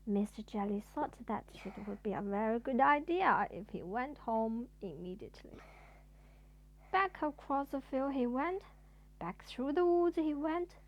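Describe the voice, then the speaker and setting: A woman storyteller reading a children's bedtime story aloud in an expressive way. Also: fairly high pitch, highs cut off.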